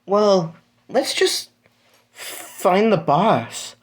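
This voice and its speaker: Calm, practical young heroine, unhurried lines, read by the narrator. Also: medium pitch, voice pushed up. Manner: matter-of-fact.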